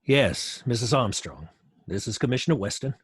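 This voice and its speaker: An actor playing a bossy, irritable middle-aged police commissioner in an old-time radio crime drama, brusque and commanding. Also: male voice, medium pitch, lively intonation, normal speed, slightly rough, no echo, clean studio sound. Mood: businesslike.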